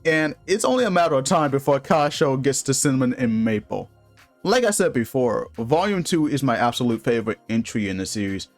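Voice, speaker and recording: A man's voice: medium pitch, big pitch swings, a little fast. A man giving a casual, opinionated review and story recap with informal, slightly crude slang. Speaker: video game reviewer. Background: music.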